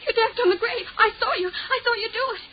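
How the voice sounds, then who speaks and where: Very high, girlish, timid young woman, superstitious and fretful; vintage radio drama, muffled noisy recording. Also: quick pace. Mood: hysterical fear. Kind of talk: old-time radio drama acting.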